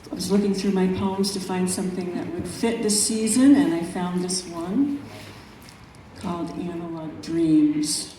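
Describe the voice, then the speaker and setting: A woman poet reading her own work at a live event, in measured, deliberate phrases with pauses. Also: on the low side, warm tone, a little hiss or hum. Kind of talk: poetry reading at a live event, with brief spoken introductions. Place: echoey room at an arts event. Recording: very echoey room, distant mic, music.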